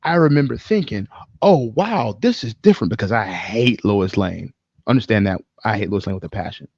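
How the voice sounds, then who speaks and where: A man co-hosting a podcast, telling stories in an animated, slangy, casual way with plenty of swearing. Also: on the low side, normal speed, gravelly, breathy edge, no echo, muffled recording. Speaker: podcast co-host. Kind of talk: casual chat and storytelling.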